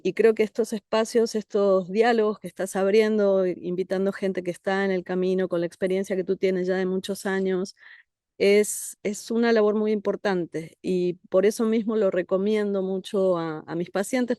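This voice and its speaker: An Argentine woman talks in an unhurried, thoughtful way as an interview guest. Her voice sits at an ordinary middle pitch. The recording is dry and close. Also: fairly even intonation, warm tone, clean tone, no room sound. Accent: Argentine Spanish. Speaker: guest therapist. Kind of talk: conversational interview. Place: country house outdoors among trees.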